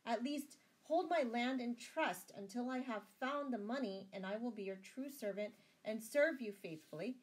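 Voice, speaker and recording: A man's voice: high voice, expressive, a little slow, hardly any pauses, voice pushed up. Woman playing an earnest, courtly medieval knight. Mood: humble, pleading. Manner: earnest, courtly, pleading or defiant. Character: proud, humble-spoken medieval knight, earnest man. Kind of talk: storybook character voice.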